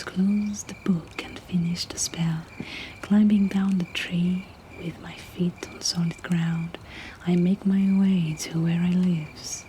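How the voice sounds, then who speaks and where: Whispering woman reading poetry slowly. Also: on the low side, slight background noise, rough recording. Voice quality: breathy, whispered. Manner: hushed, measured, deliberate. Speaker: poetry reader and host.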